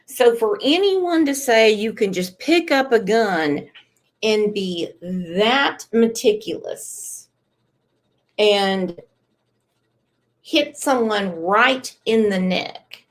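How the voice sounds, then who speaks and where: A woman's voice: medium pitch, drawn-out words. A woman hosting a casual live stream, reading chat comments aloud with emphatic, profane commentary while losing her voice. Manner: animated, swearing, emphatic.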